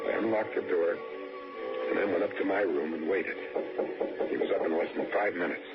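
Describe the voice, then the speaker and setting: An actor playing an edgy, guarded drifter who narrates a suspense tale in 1960s radio style. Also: male voice, deep voice, fairly even intonation, brisk, natural voice. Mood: hushed, guarded. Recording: dull old radio recording, music behind.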